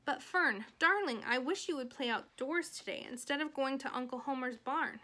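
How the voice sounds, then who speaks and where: A woman's voice: sits high, normal speed, bright, forceful tone. A woman voicing a stern, worried mother with a firm, exasperated way of talking. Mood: pleading.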